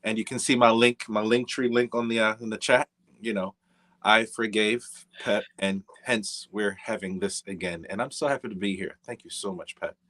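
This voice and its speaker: A man's voice: on the low side, steady tone, normal speed, a bit bright. A middle-aged man from Jamaica with a Jamaican accent, a jazz musician talking as a guest in an easygoing, rambling way. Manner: easygoing, rambling, with many fillers and restarts. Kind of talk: casual interview chat.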